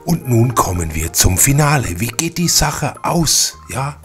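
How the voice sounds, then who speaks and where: A man's voice: mid-range voice, very animated, unhurried, a bit bright, faint music behind. A man hosting with casual, enthusiastic fan commentary, chattily addressing viewers with little tag questions. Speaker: channel host.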